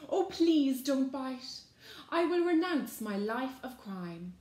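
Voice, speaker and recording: A woman's voice: medium pitch, very animated, slow pace, a little mellow. A woman voicing a cowardly young male hoodie who pleads in an exaggerated storybook way. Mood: begging. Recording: roomy echo.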